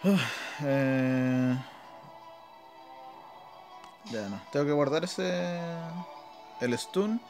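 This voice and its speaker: A man's voice: mid-range voice, animated, very slow, gravelly, pauses often. A man with a Chilean Spanish accent gives casual, slangy live gameplay commentary, muttering to himself as he plays. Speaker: gamer, video creator. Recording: game music behind voice. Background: mobile game battle music.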